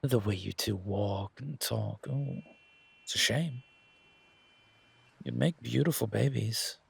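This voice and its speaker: Man playing a purring, breathy, flamboyant flirt. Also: medium pitch, heavily acted. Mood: teasing, seductive. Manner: purring, theatrical, teasing, drawn-out words. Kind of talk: roleplay audio drama.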